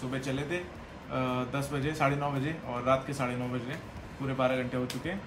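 A man's voice: mid-range voice, fairly even intonation, a little fast, background noise. A young man, a travel vlogger, talking casually to the camera he holds at arm's length, rambling and slipping English phrases into his speech. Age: young adult. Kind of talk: casual vlog talk to camera. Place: inside a car on a road trip; hotel room, indoors. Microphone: camera held at arm's length.

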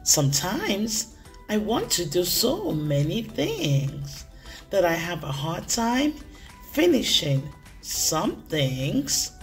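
A woman plays an excitable, playful goat kid telling a children's storybook tale. The delivery is bubbly and extremely animated, with slow, drawn-out emphasis on key words. Music plays underneath. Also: fairly low pitch, full character voice. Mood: determined. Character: excitable, playful young goat kid who loves making friends laugh. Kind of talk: first-person children's storybook read-aloud.

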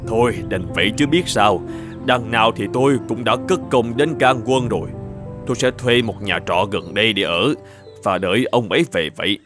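A man's voice: lowish voice, normal speed, music in the background, close to an everyday voice. A man voicing a kind, honest middle-aged travelling merchant in a cartoon folk tale, polite and earnest. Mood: calm, resigned. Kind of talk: animated folk tale dubbing.

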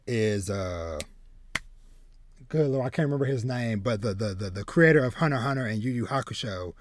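A man in his thirties chatting casually as a podcast host, haltingly, often repeating words as he goes. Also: mid-range voice, animated, no echo. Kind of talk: casual chat about manga and anime. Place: small home room with bookshelves.

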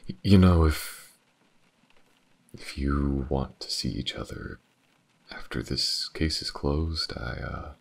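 A man acts out a world-weary detective with a very deep, gravelly voice. He speaks slowly, in a hesitant and shyly tender way, as if confiding in one listener in an intimate audio drama. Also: heavily acted. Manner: hesitant, halting, stammering. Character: world-weary but tender male detective, awkwardly shy. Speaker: voice actor. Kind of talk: audio roleplay, intimate acting addressed to the listener.

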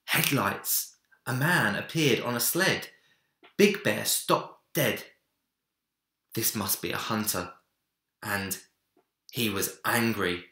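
A young man narrating a children's storybook with dramatic, deliberate phrasing in a room indoors. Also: lowish voice, sharp tone. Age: young adult. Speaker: story reader. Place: small home room, indoors. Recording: roomy echo.

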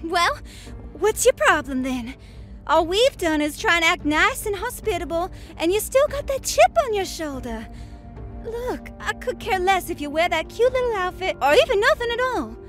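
A sweet, wholesome young snow woman with a folksy twang. Her voice sits very high and bright, with a lively rise and fall. Faint music plays under this audio drama. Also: moderate pace, full character voice. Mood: earnest. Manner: folksy, gentle, earnest.

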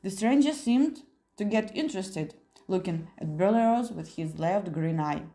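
A woman's voice: medium pitch, animated, a little slow, mellow. A woman narrating a literary audiobook, reading from a script.

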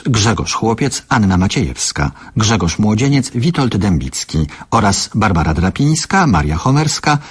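Low, husky male radio announcer. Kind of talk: formal scripted credits reading.

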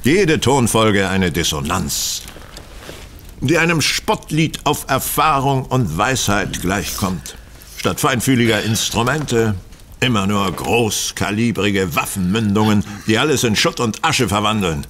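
A man's voice: lowish voice, lively intonation, measured pace, fairly bright tone, a little hiss or hum. A man playing a bored, gloomy, sardonic Victorian detective with theatrical, dry delivery in a German audio drama. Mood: gloomy, weary.

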